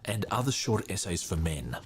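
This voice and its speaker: A man hosting a podcast with casual live commentary, talking in a rambling, halting way and easily sidetracked. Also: low voice, very animated. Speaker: podcast host. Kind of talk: casual live commentary while reading a book.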